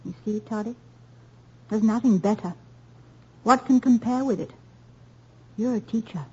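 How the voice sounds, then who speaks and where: Teasing affectionate wife, soft, husky, somewhat high, old radio play, lo-fi. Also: female voice, a little slow. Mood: tender. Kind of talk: 1950s radio drama acting. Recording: dull lo-fi old radio broadcast.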